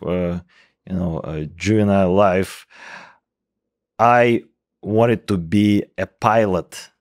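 A man in his forties with a thick Russian accent, a native Russian speaker, talking casually and haltingly as an interview guest into a close microphone. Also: sits low, a little mellow, dry recording. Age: 40s. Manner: halting, hesitant phrasing. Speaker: interview guest, professor of computational biology. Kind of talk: casual podcast conversation. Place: small indoor podcast room. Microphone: close microphone on boom arm.

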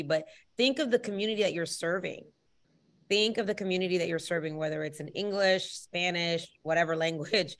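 An enthusiastic woman in her thirties hosts a group video call, her voice a touch lower than most. She keeps things upbeat and encouraging, slipping in a Spanish word now and then, heard through a webcam mic. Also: a bit bright. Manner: enthusiastic, peppers speech with Spanish words. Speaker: host.

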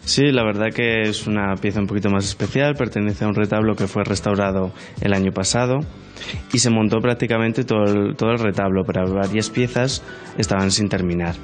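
A man's voice: fairly low pitch, fairly even intonation, fairly quick, faint music behind. A man answering interview questions in an explanatory way as an art restorer.

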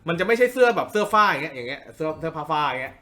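A man's voice: a little high, animated, racing, pushed and bright. A man giving an informal drawing tutorial as the teacher, repeating phrases for emphasis and often checking in with listeners. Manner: checks in with listeners with frequent tag questions.

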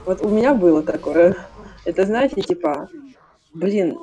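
A woman's voice: mid-range voice, big pitch swings, a little slow, mellow. A young woman on casual gaming voice chat, chatting away and acting out her own inner voices as she talks. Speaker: raid player on voice chat.

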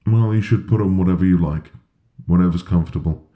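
A man playing a sweet, reassuring newlywed husband in an intimate ASMR roleplay, with a gravelly, breathy voice and a gentle manner. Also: low-pitched, dry recording, phone-quality sound. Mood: reassuring, warm. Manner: slow, gentle, soothing. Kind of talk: ASMR boyfriend roleplay, intimate soft delivery.

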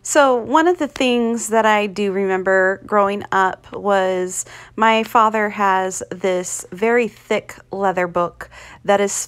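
This is a woman instructing an art class in a casual, conversational way. She takes her time, with a slow pace and a halting flow. Her pitch sits in the middle for a woman, and her manner is reassuring. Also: clean tone. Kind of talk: casual painting tutorial with personal asides. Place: indoors at a craft table.

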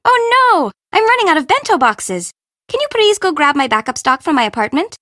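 A woman's voice: high voice, very animated, brisk, sharp tone, no room sound, clean studio sound, heavily acted. A woman playing a perky, sassy young Japanese bento shop owner in a video game, with an informal, teasing, sarcastic manner. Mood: bubbly, casual. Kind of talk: video game character voice acting.

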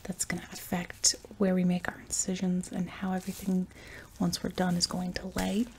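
A young adult woman in a whispered ASMR medical roleplay, breathy and soft, giving reassuring step-by-step instructions up close. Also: on the low side, completely flat delivery, normal speed, a little hiss or hum. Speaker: ASMR roleplayer playing an anesthesiologist.

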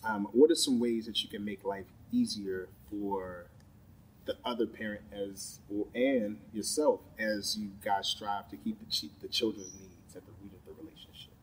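A man in his thirties hosting an interview, relaxed and affirming, with casual slang. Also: on the low side, normal speed, breathy edge, poor audio. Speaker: podcast host. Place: large indoor sports facility studio.

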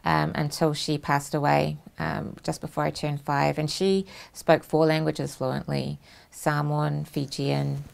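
A woman speaks in a low, deep register with a heavily gravelly, creaky texture. She talks in a measured, reflective way, pausing often on ums. She was recorded on a lapel mic in a small studio. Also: flat delivery, darkish tone. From New Zealand. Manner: measured, thoughtful, frequent ums. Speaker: host. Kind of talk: studio interview chat.

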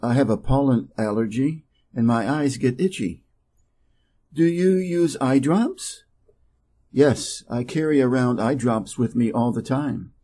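A man from Wisconsin with an American Midwest accent, an English teacher reading practice dialogues slowly and playing both parts. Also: expressive, dark tone, breathy edge. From Wisconsin, USA. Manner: reads both dialogue parts, raising his pitch for speaker A.

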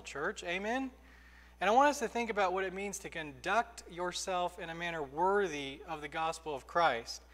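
A man in his thirties preaching a sermon from a church pulpit, earnest and emphatic, slowing down to stress key phrases. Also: fairly high pitch, fairly bright tone. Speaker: pastor. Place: church sanctuary, indoors. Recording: reverberant church hall.

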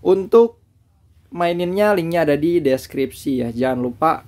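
Casting note: relaxed male host, voice a touch high, talks viewers through a screen recording. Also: a little slow. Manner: relaxed, chatty, addresses viewers as guys. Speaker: crypto channel host. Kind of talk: casual screen-recorded walkthrough. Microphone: voice-over on screen recording.

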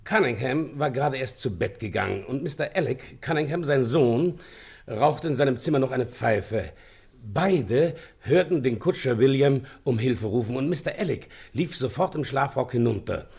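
Gravelly, polite police inspector. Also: male voice, medium pitch, a little mellow. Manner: deferential, matter-of-fact. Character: polite, businesslike male police inspector reporting a case. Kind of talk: German radio play acting.

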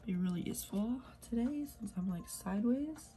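A woman's voice: a little high, lively intonation, normal speed, soft background music. A young woman chatting casually while filling in her planner, sniffly from a cold and rambling, sometimes dropping to a whisper. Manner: rambling, soft, drifts into whispers while working. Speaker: planner vlogger. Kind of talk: casual plan-with-me chat.

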